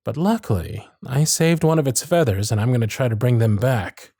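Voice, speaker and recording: A man playing a showy stage magician, addressing the crowd in grand, drawn-out, theatrical patter for an audio roleplay. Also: mid-range voice, expressive, dark tone, no room sound, clean studio sound, voice pushed down, close to an everyday voice. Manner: grand projected patter, drawn-out dramatic phrasing. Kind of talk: ASMR roleplay stage performance.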